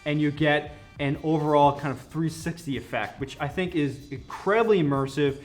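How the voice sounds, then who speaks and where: A man's voice: on the high side, moderate pace. A man working as a company product representative, explaining a product enthusiastically in a conversational interview. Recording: music playing behind the voice.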